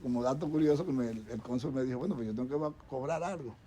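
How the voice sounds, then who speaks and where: A man's voice: mid-range voice, quick pace, mellow. A middle-aged man with a Dominican accent, a doctor speaking as a guest on a talk show panel, rambling with many restarts and repeated words. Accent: Dominican Spanish. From Dominican Republic.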